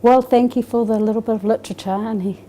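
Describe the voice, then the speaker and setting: A woman's voice: a little high, fairly even intonation, quick pace, mellow. A middle-aged woman from Scotland, raised in New Zealand, speaking with a New Zealand accent, giving a hesitant personal testimony with many fillers and restarts. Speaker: interviewee.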